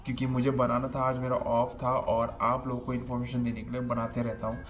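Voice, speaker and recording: A man's voice: medium pitch, completely flat delivery, fairly quick, soft background music. A man in his thirties with a cold, giving chef career advice in a casual vlog, seated in a small indoor room. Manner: earnest, explains step by step, mixes in English phrases. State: has a cold. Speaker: chef vlogger.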